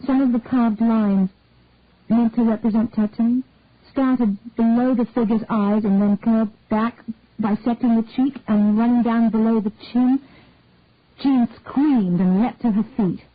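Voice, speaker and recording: Female story reader with a high voice, measured narration, on a tinny, phone-like recording. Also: dry recording. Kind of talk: scripted narration of a detective story. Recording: dry, tinny phone-like audio.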